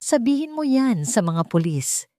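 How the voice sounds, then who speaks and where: A woman's voice: on the high side, sing-song, measured pace, mellow, no room sound, clean studio sound, voice pushed up. Woman voicing a firm, protective father. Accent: Filipino. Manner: firm, matter-of-fact. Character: firm, protective father, calm and resolute man.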